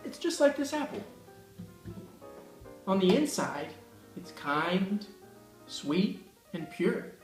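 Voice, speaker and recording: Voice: medium pitch, big pitch swings, slow, long pauses, distant mic, faint music behind. A young performer playing a smug, self-assured male writer, chatting casually in an amateur school video. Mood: persuasive. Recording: echoey room.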